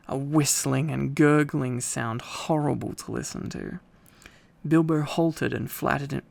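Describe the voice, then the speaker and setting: A man reads a story aloud as narrator, in a relaxed bedtime style. His voice sits at a middle pitch with plenty of gravelly fry in it. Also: dry recording.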